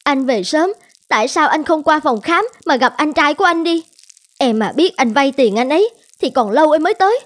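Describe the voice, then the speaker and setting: A woman's voice: high-pitched, expressive, brisk. A woman voicing a dissatisfied, nagging young wife in a cartoon drama, with a reproachful way of talking. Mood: exasperated, scolding. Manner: complaining, reproachful.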